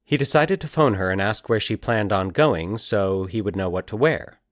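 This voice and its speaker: A man narrating scripted eerie fiction in a measured, deliberate, formal storytelling manner. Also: on the low side, animated, no echo, clean studio sound.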